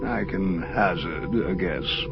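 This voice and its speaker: Slow, ponderous ancient angel. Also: male voice, sits low, creaky, lo-fi sound, music in the background, full character voice. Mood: serene. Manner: measured, formal, ponderous. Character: ancient wise male angel, serene, cryptic thinker. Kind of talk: audio drama acting.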